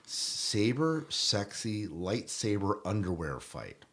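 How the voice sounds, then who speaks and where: Male podcast host, low register, casual and joking conversational news delivery. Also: sing-song, takes it slowly, a bit bright.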